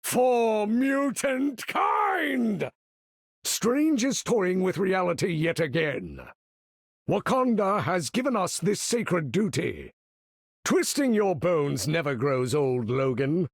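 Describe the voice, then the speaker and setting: A man's voice: high-pitched, big pitch swings, takes it slowly, no echo, clean studio sound, full character voice. A man voicing a proud, imperious older mutant master of magnetism in a video game, speaking in a grandiose, formal, commanding way. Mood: commanding, triumphant, then stately, grave. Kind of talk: theatrical game character voice lines.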